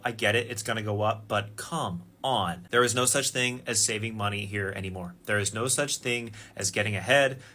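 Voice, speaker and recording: A man's voice: on the low side, bright, forceful tone. A man on a personal rant, speaking in an exasperated, incredulous and sarcastic way.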